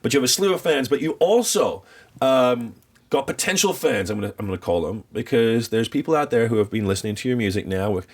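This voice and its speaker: A man's voice: mid-range voice, lively intonation, fast, a little mellow. A male radio show host interviewing a guest in an upbeat, playful way. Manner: upbeat, playful, acts out quotes. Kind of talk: casual interview chat.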